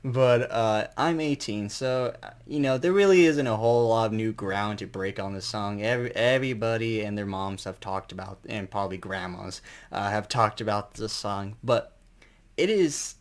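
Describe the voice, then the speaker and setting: Low-pitched man rambling through a music review. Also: normal speed. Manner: rambling, colloquial with mild swearing; enthusiastic fan. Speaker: album reviewer. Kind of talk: casual unscripted music review.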